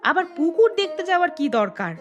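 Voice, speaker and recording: Cartoon housewife female actor, sceptical and scolding, very lively high voice, music bed. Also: a little fast. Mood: incredulous, exasperated. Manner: questioning, scolding, expressive. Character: sceptical, exasperated village housewife. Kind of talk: cartoon voice acting.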